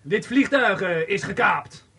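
Guy doing a stern hijacker giving orders, fairly high voice, homemade radio drama. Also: expressive, a little slow, pushed and bright, a little hiss or hum, exaggerated voice. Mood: commanding. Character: stern male hijacker announcing demands. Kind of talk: amateur radio play acting. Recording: amateur home recording with music.

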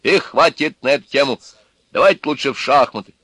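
A man's voice: mid-range voice, a little fast, pushed and bright, breathy edge, no room sound, voice pushed up. A man reading aloud, voicing a stubborn electrician of about fifty who turns people down curtly. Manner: curt, stubborn refusals. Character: older stubborn male electrician.

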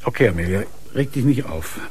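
A man's voice: mid-range voice, lively intonation, a little fast, a little mellow, slightly rough. An actor playing a commanding, terse police inspector and father in a radio crime drama. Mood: urgent, controlled. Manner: terse, urgent, giving orders.

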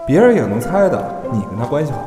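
An actor playing a brisk, exasperated but supportive male talent agent in a Chinese audio drama. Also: medium pitch, sing-song, reverberant, long reverb tail, music in the background, natural voice. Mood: grudging approval.

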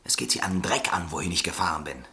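A tense, fearful swindler. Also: male voice, sits low, animated, fast talker, sharp tone, slightly rough, heavily acted. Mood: defensive. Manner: curt and defensive in dialogue, brooding in narration. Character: hunted male American swindler, tense and fearful. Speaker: first-person narrator. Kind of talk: radio play acting and narration.

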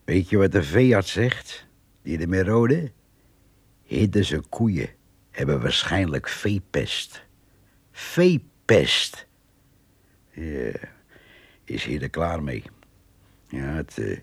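Radio drama villager: deep, slow, frank and gossipy country talker. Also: male voice, creaky, breathy edge, no echo, heavily acted. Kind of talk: Dutch radio play acting.

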